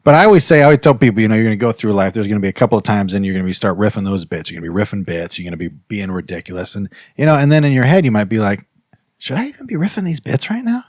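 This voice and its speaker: A man's voice: lowish voice, lively intonation, very fast, dry recording, studio quality. A man hosting a comedy podcast, riffing casually in a mock-earnest, rambling way with frequent check-in phrases. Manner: mock-earnest, rambling, peppers talk with "you know what I mean". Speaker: podcast host.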